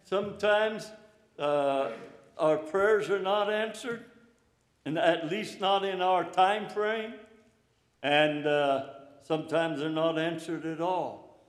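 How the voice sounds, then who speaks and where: An older man preaching an informal, encouraging sermon in a slow, plainspoken way. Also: fairly high pitch. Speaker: pastor. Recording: echoey room, hall reverb.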